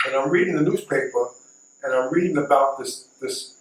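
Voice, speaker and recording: A man's voice: medium pitch, a little fast. A middle-aged man telling a story casually, repeating and recapping for emphasis. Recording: echoey, distant mic, faint noise.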